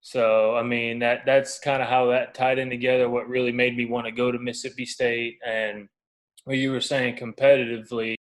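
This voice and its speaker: A man in his twenties talks as a guest athlete in a casual interview. His voice is mid-pitched for a man, with a flat, even intonation and relaxed, informal wording. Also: moderate pace, rough recording. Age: 20s. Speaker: baseball pitcher, podcast guest.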